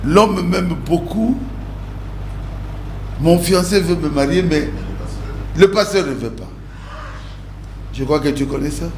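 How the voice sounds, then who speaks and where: An older pastor from the Congo with a Congolese French accent, answering interview questions with anecdotes and frequent laughter. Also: male voice, a little high, takes it slowly, long pauses, darkish tone. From Democratic Republic of the Congo. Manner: laughs while telling stories, repeats words. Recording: echoey room with background noise.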